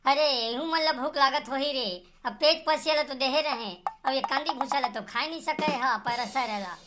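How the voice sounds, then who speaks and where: A woman's voice: high voice, moderate pace, background noise, lightly acted. A woman playing a fretting village mother in a cartoon, nagging in a rustic Chhattisgarhi accent. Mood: fretful, urging. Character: fretting village mother ordering her son about.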